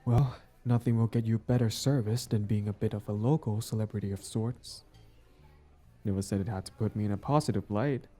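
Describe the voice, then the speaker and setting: A man plays a smug yet smitten male villain out on a date. His voice is low and very mellow, with soft music behind it. He talks casually and intimately, like a smooth talker in a romantic audio roleplay. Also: steady tone, a little fast, close to an everyday voice. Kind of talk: intimate scripted boyfriend-style audio roleplay.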